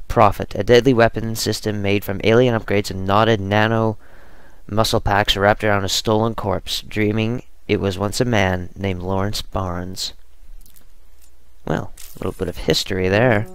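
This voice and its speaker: A man hosting a gaming video, reading scripted narration or casually commenting, with a creaky, smooth voice. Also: fairly low pitch, steady tone, normal speed, no echo.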